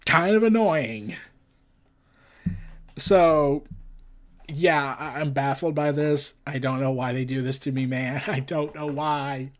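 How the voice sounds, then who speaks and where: Guy with a pretty high voice doing casual news commentary, opinionated, kinda exasperated host. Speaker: host of a pop culture and wrestling news show.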